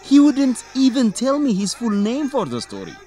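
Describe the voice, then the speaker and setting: A man's voice: very high voice, huge pitch swings, normal speed, dark tone, soft background music, exaggerated voice. A man playing a driven, inquisitive reporter in a radio drama. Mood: serious. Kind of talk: English-teaching radio drama dialogue.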